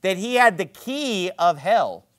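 Male pastor, high-pitched, emphatic sermon delivery in church, sarcastic asides. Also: sing-song, measured pace. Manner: emphatic preaching, swinging between loud peaks and low drops.

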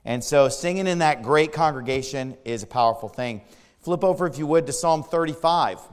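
Hoarse-voiced man preaching in a hall. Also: a little high, moderate pace. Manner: emphatic preaching with rhetorical questions. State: strained voice from overuse. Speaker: pastor. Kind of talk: sermon.

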